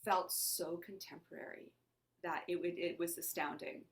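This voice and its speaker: A woman's voice: on the low side, steady tone, normal speed. A woman born in Lebanon whose first language is Armenian, an actor and playwright talking in an interview in a thoughtful, searching way. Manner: thoughtful, searching, asks herself questions aloud. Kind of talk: conversational interview.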